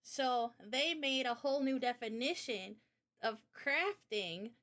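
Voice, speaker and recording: A woman with a somewhat high-pitched voice talks casually on a livestream. She explains things informally and with firm opinions, as if chatting with a friend. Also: takes it slowly, a bit bright. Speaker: streamer and game developer. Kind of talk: casual livestream chat, explaining art ideas.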